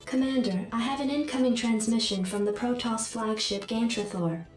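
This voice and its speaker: A woman's voice: fairly high pitch, normal speed. A woman voicing a composed military adjutant computer, formal and precise, with a synthetic echo in a game briefing. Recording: heavy echo, music behind.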